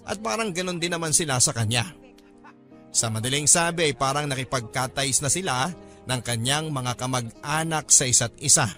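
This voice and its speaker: A man's voice: mid-range voice, animated, moderate pace, pushed and bright, soft background music. A man with a Filipino accent voicing an earnest, caring letter writer who narrates a radio drama in a respectful storytelling manner. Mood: earnest, reflective. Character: earnest, caring male friend recounting his story by letter. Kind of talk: scripted first-person radio drama narration.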